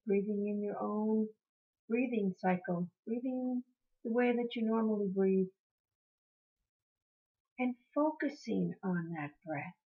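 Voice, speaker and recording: Slow-paced woman guiding a meditation. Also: fairly high pitch. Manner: soothing, encouraging, repeats phrases gently. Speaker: meditation teacher. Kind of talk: guided meditation. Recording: muffled, dull lo-fi sound.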